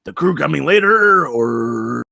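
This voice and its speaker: A man playing a vain, insecure Bigfoot with a raspy, gravelly voice in a comedic table read. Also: fairly high pitch, animated, a little slow, bright, forceful tone, heavily acted. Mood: eager, needy. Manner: needy, dramatic, swinging from sulky to indignant. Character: vain, insecure, excitable male Bigfoot, amateur movie star.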